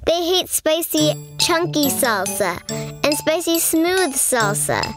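A woman reading a picture book aloud to young children in an expressive storytelling manner. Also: high-pitched, slow. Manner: expressive, addressing young listeners. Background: music.

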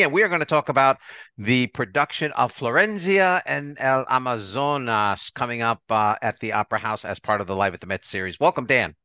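A man's voice: medium pitch, sharp tone, no echo. An older man hosting an arts podcast in a conversational interview style. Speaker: podcast host.

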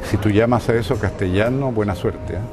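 An older man from Chile with a Chilean accent, answering interview questions in a hesitant way and often pausing to search for words. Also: on the low side, over music. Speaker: interviewee, filmmaker. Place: outdoor balcony above a city. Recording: outdoor city noise behind.

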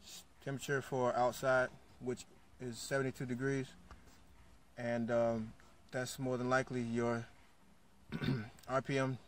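Man touring a car, flat-voiced. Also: mid-range voice, a little hiss or hum. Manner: flat, unhurried, reads specs aloud with fillers. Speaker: car vlogger. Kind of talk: casual walkaround commentary. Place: car showroom, indoors.

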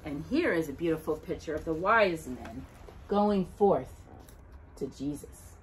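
Animated, slow-paced woman reading a story aloud. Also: low-pitched, a little hiss or hum, rough recording. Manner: animated, deliberate, dramatic storytelling with emphatic pauses. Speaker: host of a children's storytime. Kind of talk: expressive read-aloud of Bible verses and a children's Christmas book. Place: living room at home, indoors by a brick fireplace.

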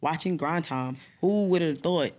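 A young woman with a New York accent and a deep, strong voice, talking bluntly in street slang as a guest in a podcast studio. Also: unhurried, a little mellow. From New York City. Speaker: battle rapper guest. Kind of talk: casual interview chat. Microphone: studio mic on boom arm, headphones.

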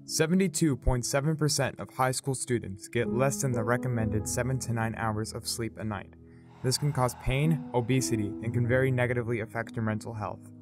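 A man's voice: mid-range voice, fairly even intonation, fairly quick. A man reading scripted public service narration as an announcer. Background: guitar music.

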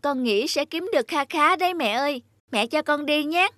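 A woman's voice: high voice, animated, moderate pace, a bit bright, no echo, studio quality. A woman voicing an eager, polite, hardworking young boy in a cartoon, with an earnest manner.

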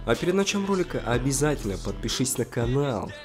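Hoarse young man gaming with a friend. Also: medium pitch, very animated, fast talker. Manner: swearing, laughing, joking. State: hoarse from a cold. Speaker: gaming channel host. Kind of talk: casual gaming chat with a friend. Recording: game music playing faintly underneath.

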